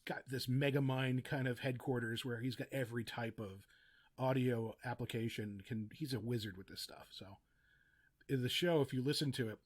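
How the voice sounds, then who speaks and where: A man's voice: medium pitch, moderate pace. A man speaking casually as a podcast guest, explaining audio production in chatty, meandering asides. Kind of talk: casual interview chat, giving practical advice.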